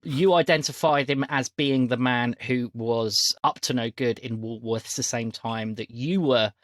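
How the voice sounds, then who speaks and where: A man's voice: medium pitch, unhurried, dry recording, clean studio sound. A man hosting a casual podcast as game master, chatting and recapping.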